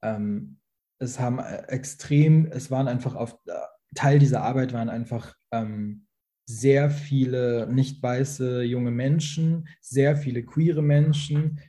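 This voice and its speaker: Young man, very dark tone, halting and thoughtful delivery, video call. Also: medium pitch. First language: Farsi. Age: young adult. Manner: hesitant, many fillers and restarts. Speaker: actor, invited guest. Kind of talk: online panel talk.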